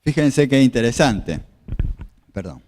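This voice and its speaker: Pastor preaching in a reverberant hall. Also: male voice, medium pitch, animated, takes it slowly, darkish tone. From Argentina. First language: Spanish. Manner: explanatory, repeats phrases, asks the audience questions. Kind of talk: sermon with slides.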